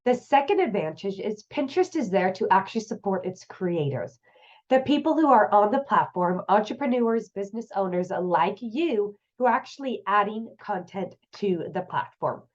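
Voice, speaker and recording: Chatty congested woman host, kinda nasal from a cold, mid pitch, bit muffled audio. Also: animated, moderate pace. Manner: chatty, upbeat, talks straight to viewers. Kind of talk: casual online business tips.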